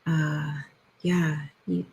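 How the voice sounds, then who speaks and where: A woman's voice: deep voice, level tone, drawn-out words, vocal fry, a little mellow, slightly rough. A woman who works as a declutter coach, a guest who speaks in a reflective way and searches for her words. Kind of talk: casual interview talk.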